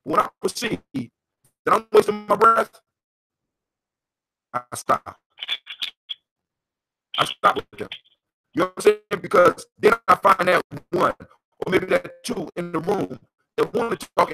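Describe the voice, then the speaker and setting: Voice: medium pitch, animated, measured pace, creaky, pauses often, low-quality recording. A host with an American accent, teaching in an impassioned, conversational way, repeating phrases for emphasis and checking in with the listener. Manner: emphatic, repeats phrases, checks in with "you know what I'm saying". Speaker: host of a call-in talk on folktales.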